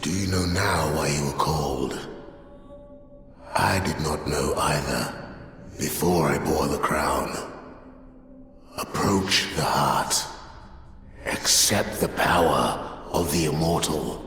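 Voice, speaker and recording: Very deep, solemn immortal warlord. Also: male voice, a bit bright, faint music behind, heavily acted. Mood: grave, testing. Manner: slow, grave, imposing. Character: solemn, commanding immortal warrior leader, grave and ancient. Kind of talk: video game voice acting. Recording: echoey hall with long reverb.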